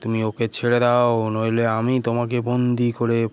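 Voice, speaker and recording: A man's voice: medium pitch, monotone, measured pace, darkish tone, smooth, lightly acted. A man voicing a stern village priest in a cartoon story, firm and commanding. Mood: threatening.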